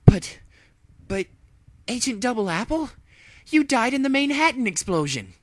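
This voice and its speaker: A man's voice: very high voice, very animated, normal speed, a bit bright, dry recording, pitched above the performer's own voice, exaggerated voice. A man voicing a desperate, defiant female pony secret agent in a dramatic story reading, strained and pleading. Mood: shocked, defiant.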